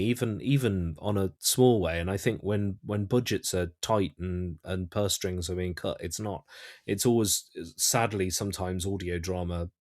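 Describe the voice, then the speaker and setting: A British man giving conversational interview answers as a guest writer-director, often halting and repeating words as he thinks. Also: lowish voice, a little mellow, dry recording, clean studio sound. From United Kingdom.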